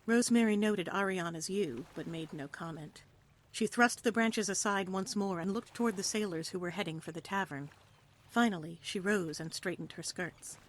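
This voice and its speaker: A woman reading scripted story narration for an audio play in an expressive, theatrical way. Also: mid-range voice, moderate pace. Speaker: narrator, reader.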